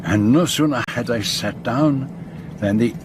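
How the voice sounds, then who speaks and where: An actor playing a fastidious, observant detective, narrating formally and precisely in a radio drama. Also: male voice, medium pitch, natural voice. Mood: musing. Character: fastidious, observant foreign male detective narrating his journey. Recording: steady aircraft cabin noise behind.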